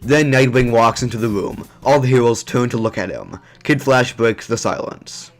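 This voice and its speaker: A man narrates a homemade superhero audio drama at a middle pitch. He reads the action in an even storytelling way. Faint music plays beneath him. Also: moderate pace.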